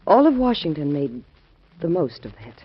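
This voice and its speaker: A gentle, hesitant young widow in an old radio drama, her husky voice soft and worried. The recording sounds dull and vintage. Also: sits low, sing-song, normal speed, heavily acted.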